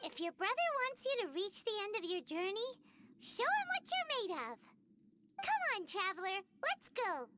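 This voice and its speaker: A woman voicing a small, chatty, excitable childlike fairy companion in a video game, easily confused and squeaky. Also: normal speed, heavy background noise. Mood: encouraging. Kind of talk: video game cutscene dialogue.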